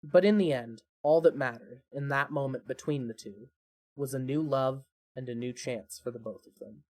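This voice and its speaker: A narrator reading a scripted fan fiction podcast in an expressive, measured storytelling manner. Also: mid-range voice.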